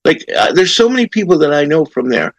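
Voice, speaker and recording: Chatty host with a lively, gravelly voice. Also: male voice, on the high side, fast, no echo. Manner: chatty, animated, laughing asides. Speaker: interview host. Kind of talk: casual interview questions.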